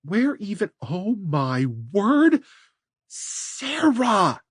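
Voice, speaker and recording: A man voicing a desperate young mother with a hoarse voice who shouts in panic. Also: very high voice, sing-song, slow pace, no room sound, studio quality, pitched above the performer's own voice, heavily acted. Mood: urgent. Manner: shouting, frantic.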